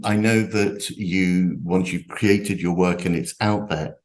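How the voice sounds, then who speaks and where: A man interviewing a novelist at a festival, asking long rambling questions full of fillers. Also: lowish voice. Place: stage at a literature festival.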